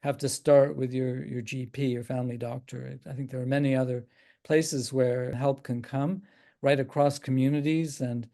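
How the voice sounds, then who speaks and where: An older man with an Irish accent, a psychiatrist speaking as a guest on a video call, thoughtful and halting with frequent restarts. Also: mid-range voice, fairly even intonation, creaky. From Ireland. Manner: thoughtful, halting with many restarts and soft laughs. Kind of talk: casual talk on a video call.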